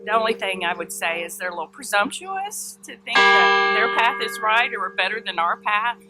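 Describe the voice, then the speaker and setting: A churchgoer gives an easygoing, even-tempered opinion in an interview. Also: mid-range voice, normal speed, loud music behind the voice.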